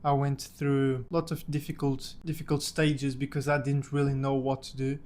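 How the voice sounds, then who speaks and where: A man's voice: medium pitch, level tone, moderate pace, darkish tone. A young man from Portugal, a native Portuguese speaker, casually telling a personal story about himself in English. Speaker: language-learning content creator.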